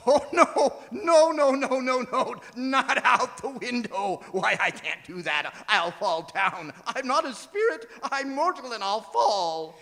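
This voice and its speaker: Scared regretful old miser, radio play, creaky bright voice, stammery, big hall reverb w music. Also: male voice, very high-pitched, animated, takes it slowly, hardly any pauses, heavily acted. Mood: frightened. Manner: stammering, exclamatory. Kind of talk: theatrical radio drama acting. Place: performing arts center stage.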